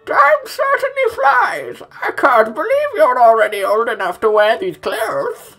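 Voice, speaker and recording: A man voicing a kindly, doting old grandmother in a high falsetto, slow and cooing, for a video game. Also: huge pitch swings, creaky, bright, forceful tone, soft background music, heavily acted.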